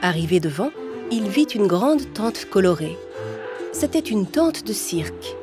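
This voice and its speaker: Calm woman reading a fairy tale. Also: mid-range voice, measured pace. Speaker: narrator. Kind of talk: scripted storybook narration. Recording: music playing underneath.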